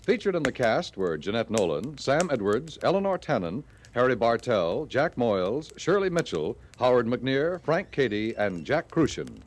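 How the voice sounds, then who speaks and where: An American man announcing in a scripted 1950s radio style, with formal, emphatic and measured diction. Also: medium pitch, very animated, slight background noise. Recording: vintage radio broadcast with music.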